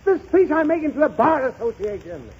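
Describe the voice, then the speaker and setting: A man's voice: extremely high pitch, big pitch swings, fairly quick. A man playing an exasperated, blustering father in a 1930s radio comedy. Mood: irritated. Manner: irritable, blustering. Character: exasperated, flustered father, a pompous lawyer. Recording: muffled, hissy 1930s radio recording.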